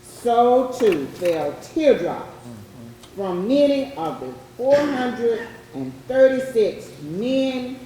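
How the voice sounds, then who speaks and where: A woman delivering scripted historical narration in a grave, deliberate manner. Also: on the high side, animated, warm tone. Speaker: readers theatre narrator. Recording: very echoey, distant mic, faint noise.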